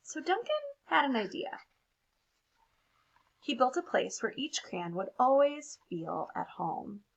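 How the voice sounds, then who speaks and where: A woman reading a children's picture book aloud in an expressive way, with casual asides to young listeners. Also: high-pitched, slow, gravelly, long pauses, low-quality recording. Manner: expressive, child-directed storytelling. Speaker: read-aloud storyteller.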